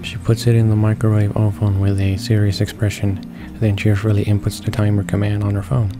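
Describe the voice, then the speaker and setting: A low-voiced man reads a visual novel aloud in a very monotone way. He halts and stumbles over words while game music plays behind him. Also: a little fast. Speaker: gamer reading along.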